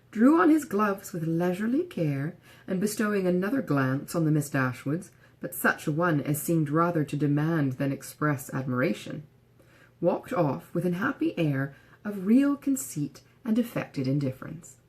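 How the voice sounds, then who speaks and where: Female audiobook narrator for literary fiction: middle register, animated intonation, measured storytelling delivery. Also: mid-range voice.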